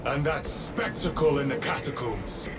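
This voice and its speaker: An actor playing a grim, indignant space soldier with clipped, severe speech in a dramatic video game. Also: male voice, mid-range voice, lively intonation, unhurried, lightly acted. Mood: angry, grim. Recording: distant, long reverb, music behind.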